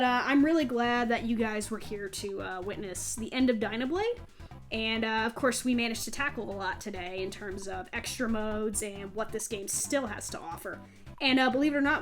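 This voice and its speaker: A woman hosting a gaming video, giving chatty, self-deprecating let's play commentary in a casual style. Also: a little high.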